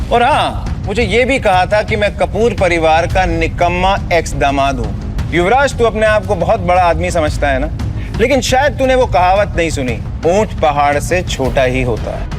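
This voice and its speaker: A once-scorned man who secretly holds great power, in an audio drama. His slightly high voice is deliberate, taunting and sure of itself, set over clear background music. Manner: taunting, deliberate, self-assured. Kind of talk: Hindi audio drama acting.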